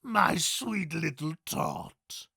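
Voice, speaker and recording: A gravelly, manic clown villain. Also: male voice, a little high, wildly swooping pitch, bright, forceful tone, no echo, clean studio sound, exaggerated voice. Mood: gleeful threatening. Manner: taunting, singsong, drawn-out delivery. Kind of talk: dramatized comic book villain acting.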